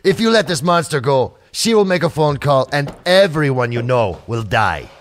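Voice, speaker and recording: A menacing, sardonic henchman, acted by a man, from a retro sci-fi radio play. He talks in a mocking, deliberate way with animated pitch in a middle register. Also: a little hiss or hum, natural voice. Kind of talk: 1940s radio drama acting.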